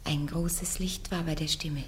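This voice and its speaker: A woman's voice: deep voice, fairly even intonation, unhurried. An actress playing a blunt, fearless young peasant woman on trial in a radio play. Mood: earnest, firm. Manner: blunt, defiant, plain-spoken.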